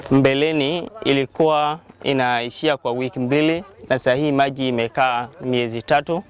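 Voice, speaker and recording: A man speaks at a middling pitch. He is giving an answer in an interview. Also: fairly even intonation, normal speed, smooth.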